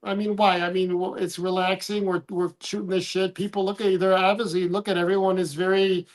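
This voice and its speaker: A man's voice: high voice, level tone, a little fast. A man from the United States co-hosting a casual livestream, rambling, trailing off and restarting his sentences. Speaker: livestream co-host.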